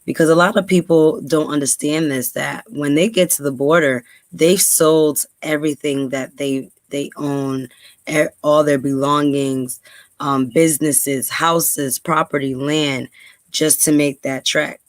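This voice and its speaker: A woman's voice: sits low, steady tone, unhurried, dry recording. Earnest New woman vlogger. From New York, USA. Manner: earnest, many ums and repeats. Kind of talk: casual talk to camera, then reading aloud.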